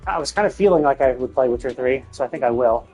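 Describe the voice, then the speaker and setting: A man's voice: medium pitch, fast talker, darkish tone, slightly rough, faint music behind. A man streaming video games and chatting casually, thinking aloud in a rambling way. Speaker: video game livestreamer.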